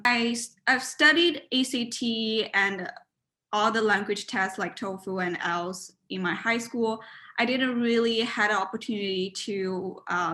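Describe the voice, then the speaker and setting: A woman's voice: medium pitch, sharp tone. A woman in her twenties from China, Mandarin native, speaking English with an accent, answering interview questions over a video call with frequent fillers and restarts. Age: 20s. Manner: hesitant, many fillers and restarts. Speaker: student interviewee. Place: home room, indoors.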